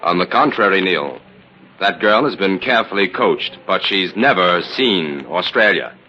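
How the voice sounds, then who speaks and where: An actor playing a shrewd, genial gentleman detective in 1940s radio drama style. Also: low voice, lively intonation, measured pace, a crowd in the background. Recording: dull, hissy old radio recording.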